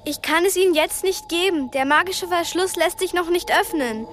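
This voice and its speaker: High-voiced, earnest young girl heroine. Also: normal speed, fairly bright tone, slight background noise. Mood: desperate pleading. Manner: pleading yet defiant. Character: earnest, determined twelve-year-old girl heroine. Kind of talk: German radio drama acting.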